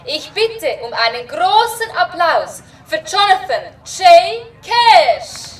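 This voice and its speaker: Lady doing a proud pompous village official, high pitched n slow, formal announcement, kids audio drama. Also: big pitch swings, recorded from a distance, heavily acted. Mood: proud, ceremonious. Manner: ceremonious, pompous. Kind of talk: children's audio drama acting.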